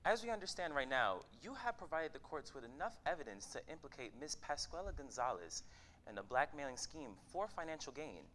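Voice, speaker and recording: Man acting a bright, insistent news reporter. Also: on the high side, very animated, a little fast, slight background noise. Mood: formal, probing. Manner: insistent, probing questions. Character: pushy male news reporter and TV interviewer. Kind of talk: staged play reading.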